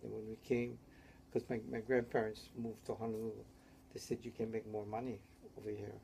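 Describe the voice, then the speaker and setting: Gravelly older man from Hawaii. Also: lowish voice, level tone, brisk, mellow, a little hiss or hum, low-quality recording. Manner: rambling, tags statements with "yeah?". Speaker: interviewee. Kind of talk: oral history interview.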